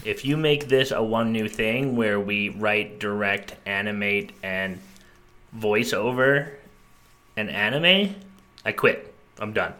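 A man's voice: lowish voice, slow pace, sharp tone. A young man co-hosting a casual podcast, talking in an upbeat, joking way. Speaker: podcast co-host.

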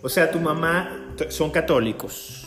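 Host conversador en sala con eco. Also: male voice, on the high side, unhurried. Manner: chatty, effusive, joking. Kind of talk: casual live interview. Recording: roomy sound with guitar music behind. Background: guitar music.

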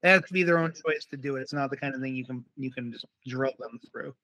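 A man's voice: mid-range voice, fast, sharp tone, no room sound. A man hosting a podcast interview, chatting casually and affirming his guest with upbeat remarks. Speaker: podcast host.

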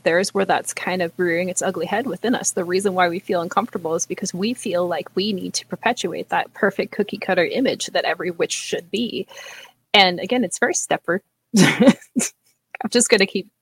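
A woman's voice: lowish voice, a little fast, slightly rough, low-quality recording. A woman co-hosting a podcast in casual chat. She talks candidly and laughs often. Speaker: podcast co-host.

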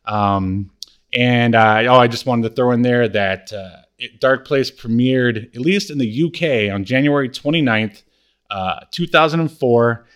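A man's voice: medium pitch, unhurried, a bit bright, clear, resonant tone. A man co-hosting a podcast, chatting casually about cult media and often searching for his words. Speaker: podcast co-host.

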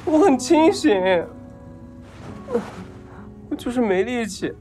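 A man's voice: very high-pitched, big pitch swings, takes it slowly, over music, exaggerated voice. A man acting a drugged young man in an audio drama, whiny, weak and breathlessly pleading with the one he has a crush on. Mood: dazed, infatuated. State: weak and feverish from drugs.

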